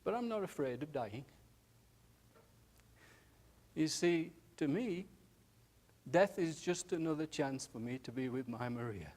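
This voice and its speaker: A man voicing an elderly widowed nursing home resident who talks slowly, haltingly and tenderly, often saying "aye". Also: a little high, expressive, a little mellow. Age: older. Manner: slow, halting, chuckling, says "aye" often.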